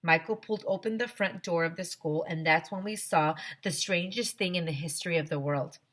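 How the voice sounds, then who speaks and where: A woman teacher reading a children's story aloud expressively to her students, with animated emphasis and direct asides to the children. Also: lowish voice, brisk.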